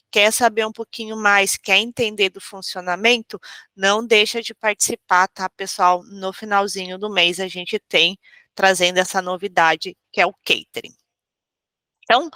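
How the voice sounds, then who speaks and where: A woman's voice: medium pitch, bright, forceful tone, dry recording. A Brazilian woman with a cold, hosting a live webinar as a trainer in a friendly, informal, explanatory way. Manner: friendly, informal, explanatory with frequent check-ins. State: has a cold. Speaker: webinar host and software trainer.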